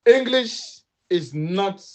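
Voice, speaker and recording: A man's voice: high voice, lively intonation, extremely slow, a little mellow. A middle-aged man giving an impassioned political appeal, emphatic and repetitive, switching between English and Dutch. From Sierra Leone. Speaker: political commentator.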